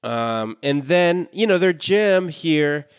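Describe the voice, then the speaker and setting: A man's voice: a little high, animated, takes it slowly, clean tone, no echo, studio quality. A man co-hosting a podcast remotely from a hotel room, talking casually and rambling with lots of fillers. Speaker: podcast co-host. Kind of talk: casual chat. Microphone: remote call from a hotel room.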